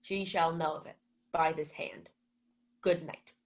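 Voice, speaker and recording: A woman's voice: deep voice, steady tone, unhurried. A young woman playing a pompous, indignant male household steward in a Shakespeare radio play. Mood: indignant scolding. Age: young adult. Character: Malvolio, haughty scolding male household steward.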